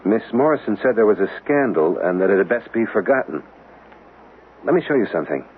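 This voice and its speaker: A man's voice: lowish voice, sing-song, fairly quick. An actor playing an intent, inquisitive man in a radio drama, earnest, insistent and dramatic in delivery. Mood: intent, serious. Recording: tinny, noisy vintage radio broadcast.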